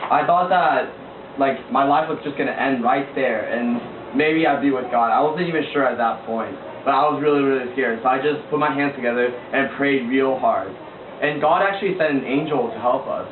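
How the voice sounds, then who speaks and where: A teenage boy tells his story earnestly, repeating words and filling pauses as he goes. His mid-pitched voice sounds far from the mic on a tinny, echoey recording with a noisy background. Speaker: youth sharing testimony. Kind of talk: casual spoken testimony to a group.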